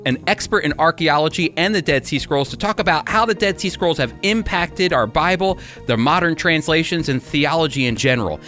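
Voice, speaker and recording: A man's voice: on the high side, bright, forceful tone. A man hosting a radio program, speaking conversationally as he introduces and interviews a guest. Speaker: radio show host and interviewer.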